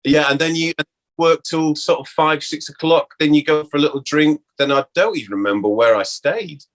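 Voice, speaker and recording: A man, an actor being interviewed, reminisces casually in a halting way, often interrupting himself. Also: on the high side.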